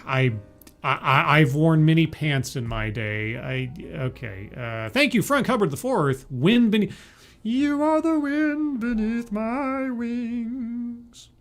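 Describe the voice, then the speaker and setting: A man streaming live, mocking a talk he is watching with deadpan, stammering asides and greeting his chat. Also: high-pitched, takes it slowly, faint music behind. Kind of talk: sarcastic live commentary.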